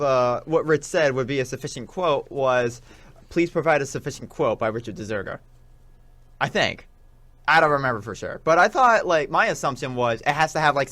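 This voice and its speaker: A man's voice: fairly high pitch, a bit bright, no room sound. A young adult man chatting casually as a podcast co-host, rambling along and leaning often on "like". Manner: rambling, peppered with "like". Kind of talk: casual chat.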